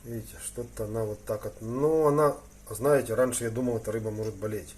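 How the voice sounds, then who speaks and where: A man giving a casual, rambling hobby vlog in colloquial, slangy speech, thinking aloud and often clearing his throat between phrases. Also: medium pitch, fairly even intonation, a little fast, darkish tone. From Ukraine. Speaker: hobby aquarium vlogger. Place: indoors in a room with aquariums. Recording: noticeable background noise.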